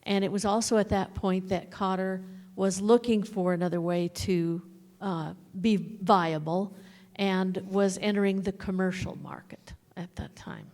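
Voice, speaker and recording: A woman's voice: mid-range voice, animated, unhurried, darkish tone. A woman on a panel giving a hesitant explanation to an audience, with many fillers as she goes. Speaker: panelist on local history. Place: museum meeting room.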